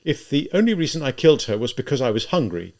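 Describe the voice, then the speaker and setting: A man voicing a tormented, spiteful young murderer in an audiobook, halting and emphatic as he reproaches himself. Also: mid-range voice, animated, close to an everyday voice. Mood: intense.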